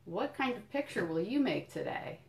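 A woman doing a children's storytime, reading a picture book in deliberate phrases and explaining things simply to young listeners. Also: mid-range voice, big pitch swings. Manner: addresses young listeners directly with questions and encouragement. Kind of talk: children's storytime read-aloud with friendly asides.

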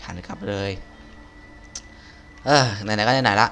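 A man's voice: fairly low pitch, slow pace, fairly bright tone, smooth, faint music behind. Slangy man doing casual game commentary. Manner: slangy, crude, muttering to himself. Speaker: gaming video creator.

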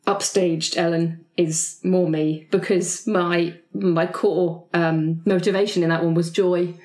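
A woman with a slightly low voice talks casually as a podcast guest. The recording has a clearly roomy, reverberant sound. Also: fairly even intonation, slow pace, darkish tone. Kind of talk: casual chat.

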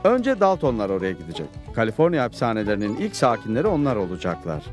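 Lively, confident cowboy hero. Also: male voice, fairly low pitch, fast, faint music behind. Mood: calm, assured. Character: calm, confident cowboy hero on the trail of outlaws. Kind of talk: dubbed cartoon acting.

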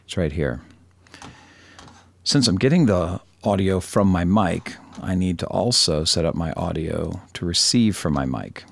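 A man with a very low voice and plenty of vocal fry presents a software tutorial. He talks informally and thinks aloud, poking a little fun at himself. The recording is close and dry. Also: expressive, measured pace, no echo. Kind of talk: casual screen-recorded tutorial.

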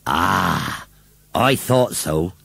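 A man's voice: low-pitched, lively intonation, drawn-out words, creaky, a bit bright, slightly rough, no room sound, full character voice. A man voicing an angry, sarcastic farmer who scolds with rustic phrasing in a children's story. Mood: muttering.

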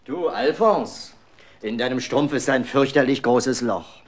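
A man's voice: medium pitch, measured pace, natural voice. An actor playing a teasing middle-aged father in a 1970s German children's radio play, with a theatrical delivery. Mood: mischievous teasing.

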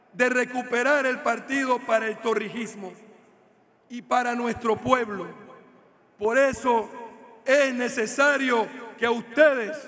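A middle-aged man giving a political speech from a podium in a large hall, slow and emphatic, repeating his key phrases. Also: extremely high pitch, a bit bright. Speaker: political speaker. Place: large indoor stage at a rally. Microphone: podium microphones. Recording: reverberant hall.

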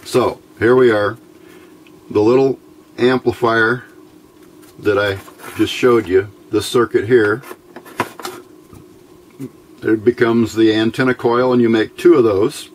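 A middle-aged American man giving an informal, unscripted technical explanation in a plain-spoken, folksy, halting way, recorded on a camera microphone at a workbench. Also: on the low side, steady tone. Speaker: electronics hobbyist presenter. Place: indoors at a workbench. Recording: noisy home-made camera audio.

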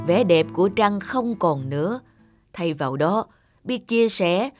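A woman's voice: mid-range voice, close to an everyday voice. A woman voicing a wise, kindly old grandfather monkey in a children's cartoon, patiently instructing. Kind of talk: animated children's fairy tale acting.

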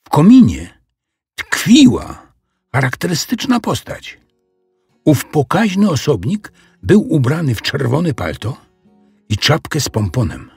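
Expressive, creaky-voiced male storyteller. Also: fairly high pitch, a little slow, pauses often, dry recording, studio quality, soft background music. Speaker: narrator. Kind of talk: expressive children's audiobook storytelling.

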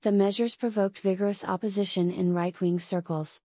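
A woman's voice: medium pitch, fairly even intonation, normal speed, mellow, no echo, studio quality. A woman reading scripted practice sentences for an English speaking test. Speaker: test prompt reader.

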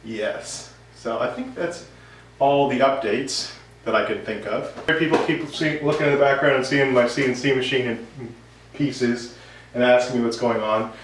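A man's voice: medium pitch, normal speed. A man in his thirties giving a casual, rambling update to camera as the host of a woodworking vlog. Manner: rambling, conversational. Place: home workshop, indoors. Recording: roomy, distant, noisy workshop recording.

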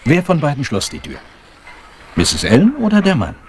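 An actor playing a blunt, businesslike police chief inspector with an official manner in a German crime radio drama. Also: male voice, a little high, huge pitch swings, normal speed, darkish tone, slight background noise. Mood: stern, gruff. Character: brusque, businesslike middle-aged male police chief inspector.

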